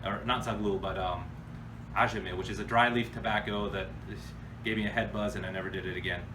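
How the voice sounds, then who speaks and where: A man's voice: lowish voice, fairly even intonation, a little fast. A man from the United States hosting a casual, off-the-cuff question-and-answer chat in a blunt, slangy, informal way. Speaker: host answering viewer questions. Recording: distant mic with clear background noise.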